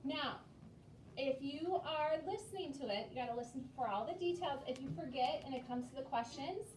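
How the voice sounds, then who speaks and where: Female elementary teacher, high voice, patient classroom delivery, distant mic with roomy echo. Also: animated, brisk, a little mellow. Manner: simple, patient explaining with frequent check-in questions. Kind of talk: classroom instruction to young children. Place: elementary school classroom, indoors. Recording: echoey noisy classroom, far from mic.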